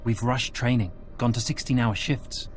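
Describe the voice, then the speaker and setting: Male actor as busy military staffer, game cutscene: middle pitch, businesslike, overworked. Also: fairly even intonation, moderate pace, soft background music, natural voice. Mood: harried, businesslike. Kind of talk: video game cutscene acting.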